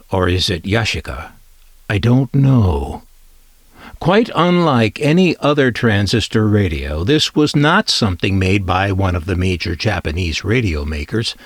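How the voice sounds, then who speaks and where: A man's voice: on the low side, no room sound, studio quality. A middle-aged American man narrating a scripted but conversational collector's presentation, with wry, musing asides.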